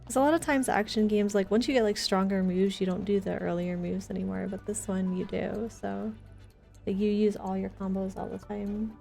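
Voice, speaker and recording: A woman with a soft, mellow voice talks casually on a game livestream. Her manner is playful and teasing, and music plays faintly behind her. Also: mid-range voice, fairly even intonation, normal speed, gravelly. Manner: playful teasing banter, gasps at discoveries. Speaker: game streamer. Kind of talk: casual livestream gaming chat.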